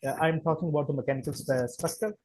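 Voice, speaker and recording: A man with a Pakistani accent, a Punjabi speaker, answering podcast interview questions as a chemical engineer, talking haltingly with many fillers and restarts. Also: fairly high pitch, noisy background.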